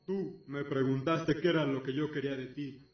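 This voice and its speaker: A man's voice: mid-range voice, fairly even intonation, normal speed, lightly acted. A man playing an imperious griffin god in a fantasy radio drama, commanding, solemn and scornful. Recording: echoey, distant, dull lo-fi with music.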